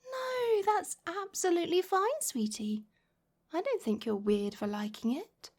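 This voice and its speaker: A British woman playing a warm, gently flirty middle-aged mother in a soft, intimate ASMR roleplay, affectionate and coaxing. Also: very high-pitched, animated, a little slow. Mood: amused, reassuring. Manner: affectionate, coaxing, lots of pet names and sighs.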